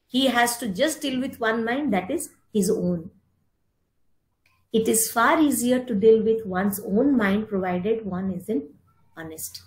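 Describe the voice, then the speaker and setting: A middle-aged woman with an Indian accent giving an instructive talk in short, deliberate, emphatic phrases, explaining terms as she goes. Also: on the high side, a little mellow. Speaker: speaker giving a yoga philosophy talk. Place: indoor room, online meeting. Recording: roomy, echoing virtual meeting audio.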